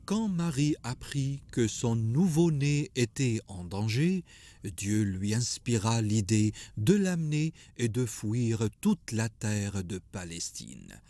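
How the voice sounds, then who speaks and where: Male narrator for religious animation: solemn, slow, deliberate, dry close sound. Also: medium pitch, sing-song, dark tone, no room sound. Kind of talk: scripted storytelling narration for an animated religious tale.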